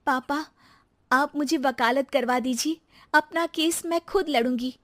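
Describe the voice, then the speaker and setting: A woman voicing an earnest, dutiful young daughter-in-law in a moral story, protesting politely with quiet resolve. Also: high-pitched, measured pace, voice pushed up. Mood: resolute. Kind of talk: acted moral-story dialogue.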